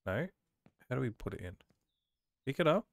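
A man with a cold casually commentating his own gameplay, reading on-screen prompts aloud and thinking out loud as he plays. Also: mid-range voice, big pitch swings, normal speed, dry recording, clean studio sound. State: has a cold. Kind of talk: casual let's-play commentary.

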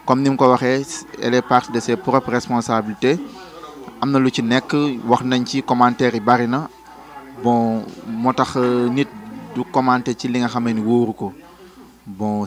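A young Senegalese man giving a steady street interview answer indoors into a handheld mic. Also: medium pitch, normal speed. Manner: steady, mixing in French phrases. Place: indoors in a small shop.

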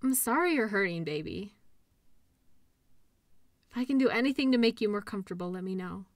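A woman's voice: mid-range voice, lively intonation, fairly quick, no echo, clean studio sound, close to an everyday voice. A woman playing a caring, upbeat girlfriend in an intimate roleplay audio, talking in a soothing, playful, encouraging way. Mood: tender, sympathetic. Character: caring, upbeat girlfriend comforting an injured partner.

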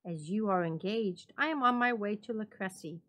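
A woman voicing a vengeful gentleman who feigns friendly concern, polite and coaxing, in a dramatic story reading. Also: mid-range voice, normal speed, natural voice. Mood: feigned doubt.

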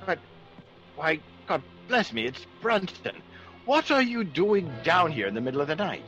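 An actor playing a genial, excitable aristocratic country squire, well-bred and blustering, in classic radio drama. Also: male voice, on the high side, moderate pace, faint music behind. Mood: outraged. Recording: dull, faintly noisy old radio recording.

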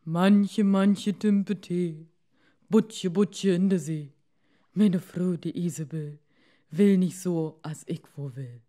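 A meek fisherman from a storybook, voiced by a woman, speaking a little lower in a mellow tone. He is easily contented, reluctant to ask for more and sounds downcast. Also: takes it slowly. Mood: troubled calling.

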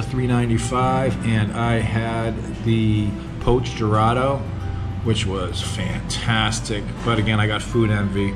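A man talking casually and off the cuff as he hosts a vlog in a restaurant. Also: medium pitch. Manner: conversational, off-the-cuff. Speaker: vlogger, host. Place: small restaurant, indoors. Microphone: handheld camera, behind the lens. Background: loud background music.

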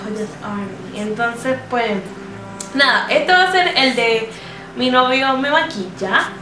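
A woman's voice: fairly high pitch, animated, takes it slowly. A young woman in her twenties chatting casually with viewers in a beauty vlog, laughing often. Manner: chatty, giggly, speaks to viewers. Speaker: beauty vlogger. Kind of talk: casual chat. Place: small indoor room against a plain wall. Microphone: far camera. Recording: roomy, distant, music behind.

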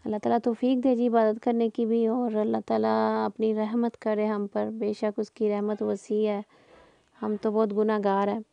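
A woman's voice: mid-range voice, completely flat delivery, normal speed, creaky, dark tone, no room sound, muffled recording. A middle-aged woman, giving a casual, rambling voice-over as a home cooking vlogger. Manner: conversational, rambling.

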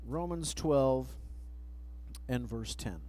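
Man, middle-aged, preacher, pulpit microphone, sermon, measured scripture reading, average pitch. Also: dark tone. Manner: measured, reads verses slowly, trails off at phrase ends. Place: church sanctuary, at a pulpit. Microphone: pulpit microphone, far camera.

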